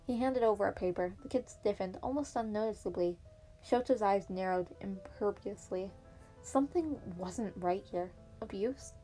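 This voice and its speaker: A woman narrates a piece of fan fiction in an amateur audiobook style. Her voice sits at an average pitch, with soft piano music playing behind it. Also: moderate pace, rough recording.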